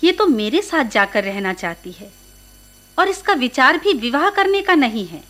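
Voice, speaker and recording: High-voiced, authoritative holy woman. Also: sing-song, moderate pace, a bit bright. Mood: earnest, persuasive. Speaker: radio actor. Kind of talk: radio drama dialogue.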